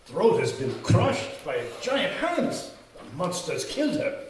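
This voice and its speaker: An actor playing a grave, admonishing older professor in a solemn, emphatic, theatrical old-time radio horror style. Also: male voice, fairly high pitch, wildly swooping pitch, slow pace, a crowd in the background, heavily acted. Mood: alarmed, grim. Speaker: actor in a live radio drama. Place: live stage in a community hall. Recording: echoey hall, distant mic, faint noise.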